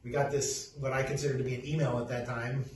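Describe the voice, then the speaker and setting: A middle-aged man from Peru, a company CEO, introducing his background in a halting, casual way with many restarts. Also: mid-range voice, level tone, a little mellow. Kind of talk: casual self-introduction talk. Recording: echoey room, distant mic, faint noise.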